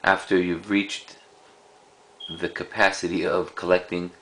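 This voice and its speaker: A man giving a casual, unscripted show-and-tell of a collection in a halting, rambling way. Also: low voice, little pitch movement, fairly bright tone, a little hiss or hum, low-quality recording. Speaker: cassette collector presenting a collection.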